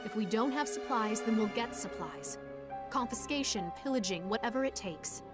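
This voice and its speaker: A woman's voice: on the high side, animated, normal speed, over music, close to an everyday voice. A woman playing a headstrong, commanding young army officer in a game drama. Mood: resolute. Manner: commanding, insistent. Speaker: game voice actor.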